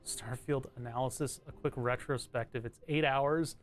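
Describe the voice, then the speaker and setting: A man streaming from his home setup, chatting casually in a rambling, self-interrupting way with a bright, trebly voice. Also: medium pitch, fairly even intonation, moderate pace.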